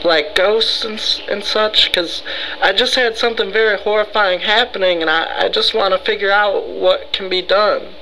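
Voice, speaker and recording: Male, acted by a man: high-pitched, bright, halting and melodramatic worrier, rambling and pleading, for a comic prank call with clear background noise. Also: fairly even intonation, rough recording. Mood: nervous, earnest. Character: frightened, rambling, easygoing man reporting a ghost. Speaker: prank caller. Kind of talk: improvised phone prank.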